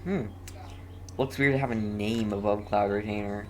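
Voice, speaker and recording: A woman's voice: very deep voice, moderate pace, noisy background. A woman giving casual gameplay commentary, thinking aloud and repeating short phrases as she plays. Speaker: gaming video host.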